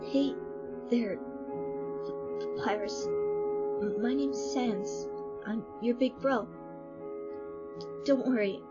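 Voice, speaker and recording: Woman voicing a timid, slow-speaking little skeleton boy. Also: fairly high pitch. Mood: nervous, tender. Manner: timid, halting, stammering. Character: young skeleton monster boy, tender and anxious big brother. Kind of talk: animated comic dub. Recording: muffled audio under loud music.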